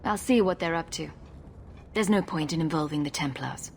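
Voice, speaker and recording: An actress playing a composed, direct heroic adventurer in a video game, questioning plainly. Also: female voice, low voice, moderate pace, creaky, slight background noise. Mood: firm, inquiring. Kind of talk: dramatic video game dialogue.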